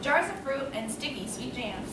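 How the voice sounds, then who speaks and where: A woman's voice: high-pitched, big pitch swings, moderate pace. A woman reading a scripted children's story as narrator. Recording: very echoey room, distant mic, noisy.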